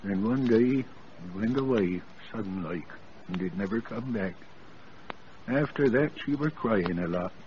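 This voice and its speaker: Elderly English West Country countryman, low, rustic and kindly, old radio drama with dull, noisy sound. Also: lively intonation, a little slow. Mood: sombre, wistful. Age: older. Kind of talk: 1940s radio drama acting.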